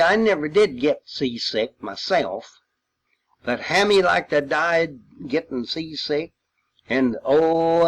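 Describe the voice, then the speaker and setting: Older male veteran, rural Missouri accent. Higher-pitched voice, very unhurried, folksy storyteller. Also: a bit bright, rough recording. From Missouri, United States. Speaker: World War II veteran storyteller. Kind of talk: rambling personal reminiscence.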